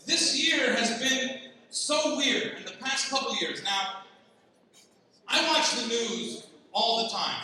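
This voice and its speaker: A Palestinian man doing a lively stand-up set, teasing the crowd and jumping up in pitch when excited. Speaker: stand-up comedian. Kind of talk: stand-up comedy set at a gala. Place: large banquet hall. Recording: far-off mic in an echoey banquet hall with noise.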